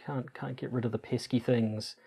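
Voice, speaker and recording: A man's voice: mid-range voice, steady tone, normal speed, creaky, dark tone, breathy edge. A man co-hosting a podcast in casual, bantering chat, with dry, self-deprecating humour. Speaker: podcast co-host. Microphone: lapel mic.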